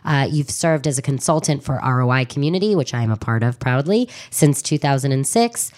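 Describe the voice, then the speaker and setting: Woman radio host, low voice, chatty and gushy, trails off mid thought, studio interview. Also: lively intonation, brisk, darkish tone. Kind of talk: chatty interview, partly reading a bio.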